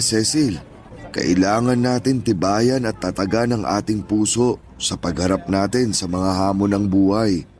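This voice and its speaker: A man's voice: on the low side, darkish tone, natural voice. An actor playing a kindly, hardworking older father and former street vendor in a Tagalog audio drama, with a Filipino accent and reassuring, plain-spoken manner. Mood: reassuring, earnest. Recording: music bed behind voice.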